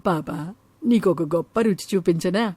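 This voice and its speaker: A kindly, practical countrywoman from a cartoon moral tale, voiced by a woman storyteller. Her tone is dark and rather low, in a dry recording. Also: measured pace, husky, no echo. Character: kind, practical village woman who owns a banana grove. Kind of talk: animated moral story acting.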